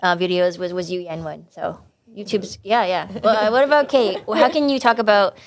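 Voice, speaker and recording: Informal woman moderator, mid-pitched. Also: fairly quick. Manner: informal, jumps in with asides. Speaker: panel moderator. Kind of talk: casual chat, asking questions.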